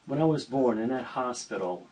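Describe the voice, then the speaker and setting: A man's voice: medium pitch, animated, moderate pace, darkish tone, recorded from a distance, poor audio. Earnest rambling man, set back from the mic. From Armenia. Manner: rambling, earnest, with many restarts. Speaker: interview guest. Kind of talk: casual video-call conversation.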